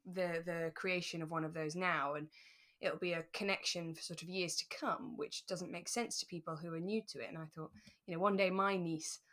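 A young British woman co-hosting a podcast in relaxed, reflective, conversational chat. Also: on the low side, fairly quick. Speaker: podcast co-host. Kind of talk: relaxed chat reviewing a radio drama.

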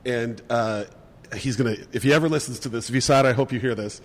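A man's voice: medium pitch, fairly quick, big-room sound. A man in casual podcast chat who rambles, restarts and laughs often. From Minneapolis area.

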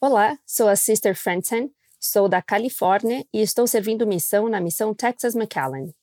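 A woman of middle pitch recalls her experiences candidly as a missionary guest. Her voice is recorded close and dry, with no room sound. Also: animated, normal speed, darkish tone, dry recording. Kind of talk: personal storytelling.

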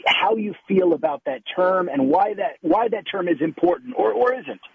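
Raspy high-voiced male host on a phone line. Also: expressive, moderate pace, dry recording. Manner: restarting sentences while framing questions. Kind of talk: talk show hosting. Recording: tinny phone-line sound.

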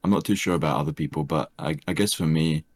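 A young man with a soft, soothing voice, chatting hesitantly as a podcast guest with many fillers. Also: very deep voice, fast talker. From Australia. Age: young adult. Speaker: streamer guest. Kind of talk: casual podcast chat.

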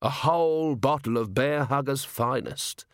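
A man's voice: mid-range voice, a little slow, no echo, clean studio sound, close to an everyday voice. A man voicing a blunt, jeering boss of thieves in a storybook, disapproving in manner.

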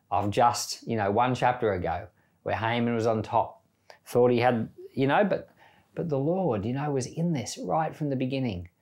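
A middle-aged man who reads a Bible passage aloud, then talks it through in a relaxed way, thinking aloud with small fillers. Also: mid-range voice. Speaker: church Bible reader. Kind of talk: scripture reading and informal commentary.

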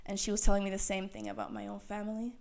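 A woman's voice: mid-range voice, monotone, racing, creaky, a little mellow. A young woman from Chile co-hosting a podcast in casual, teasing chat, laughing often. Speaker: podcast co-host.

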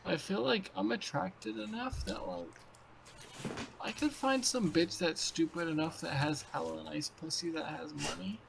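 A young man in his twenties talks crudely and loosely over a video call, piling on fillers and swearing. His voice sits high for a man and carries a gravelly fry. A faint hiss lies under the call. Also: a little slow. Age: 20s. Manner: rambling, profane, filler-heavy. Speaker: podcast co-host. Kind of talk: crude casual chat.

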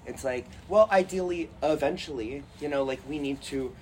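A man's voice: on the high side, moderate pace, darkish tone. A man co-hosting a podcast in casual chat, rambling and jokey with lots of fillers. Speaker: podcast co-host.

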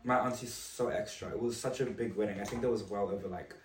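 A man's voice: lowish voice, fairly even intonation, fast, slight background noise. A young man from Zimbabwe, a podcast guest telling stories in a chatty way with frequent laughs. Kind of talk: casual chat. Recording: roomy room, distant mic.

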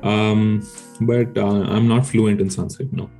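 Low, mellow-voiced man with many restarts. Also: flat delivery, slow, soft background music. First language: Telugu. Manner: hesitant, many restarts and fillers. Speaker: guest researcher. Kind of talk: casual podcast chat.

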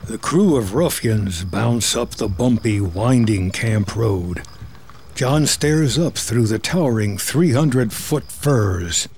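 A man's voice: lowish voice, lively intonation, slow pace, darkish tone, a little hiss or hum. A man reading scripted screenplay narration as the narrator of a drama.

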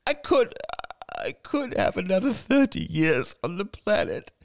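A woman's voice: medium pitch, big pitch swings, a little slow, gravelly, voice pushed up. A narrator voicing a lonely older woman in her sixties, a former reporter, who talks in a self-pitying, tearful, wavering way. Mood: miserable.

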